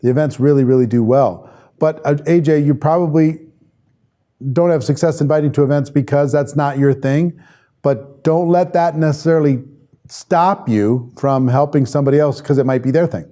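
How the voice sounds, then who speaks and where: A man with a mellow, relaxed voice that sits a little high for a male speaker. He leads an informal live online class as a trainer, talking conversationally and often thinking aloud. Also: moderate pace. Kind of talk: informal live lesson, reading and answering viewer comments.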